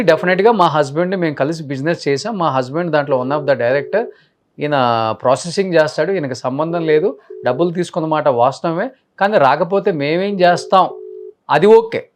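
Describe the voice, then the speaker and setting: A male news anchor giving unscripted commentary, speaking emphatically and listing his points, mixing in English words. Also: fairly high pitch.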